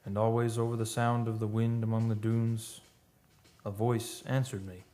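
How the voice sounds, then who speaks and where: A man's voice: lowish voice, level tone, dark tone, close to an everyday voice. A man playing a grave, loyal friend and counsellor who also narrates a radio play in solemn, literary style. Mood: wistful narration. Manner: solemn, measured literary storytelling. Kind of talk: old-time radio play acting and narration. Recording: music under the voice.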